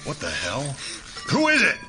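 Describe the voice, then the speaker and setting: A man playing an irritable, alarmed character in an audio drama, gruff and shouting as he confronts intruders. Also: medium pitch, huge pitch swings, moderate pace, rough recording. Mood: rattled, angry. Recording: very loud music over voice.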